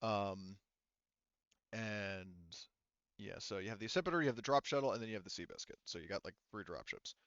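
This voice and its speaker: A man runs a tabletop game in a smooth, clean voice. He narrates off the cuff, rambling and backtracking in a casual way. The recording is dry and a little dull. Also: lowish voice, expressive, fairly bright tone, no echo. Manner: halting, rambling, self-correcting, casual. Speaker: game master.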